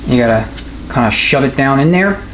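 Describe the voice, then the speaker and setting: A man's voice: medium pitch, lively intonation, a little fast, very noisy. A man giving an unscripted, hands-on technical demonstration as a mechanic, explaining things in a matter-of-fact way. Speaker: transmission mechanic and instructor. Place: transmission workshop.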